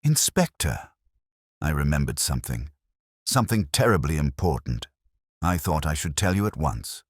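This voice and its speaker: A man voicing a prim, gossipy woman from an English village in a story, fluttery, breathy and self-important. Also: rumbling low, sing-song, moderate pace, no echo, studio quality, full character voice. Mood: fluttery, urgent.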